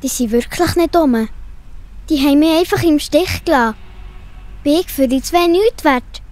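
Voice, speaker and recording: A high, girlish voice performed by a woman as a sensitive, plucky little girl in a children's audio play. She speaks with a Swiss accent. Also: normal speed, a little mellow, natural voice. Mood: hurt.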